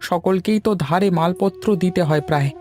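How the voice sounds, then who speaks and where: High-voiced fretful cartoon father, acted by a man. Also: brisk, darkish tone, faint music behind, close to an everyday voice. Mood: weary, worried. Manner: plaintive, fretful. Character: worried, weary middle-aged shopkeeper father. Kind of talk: cartoon dialogue.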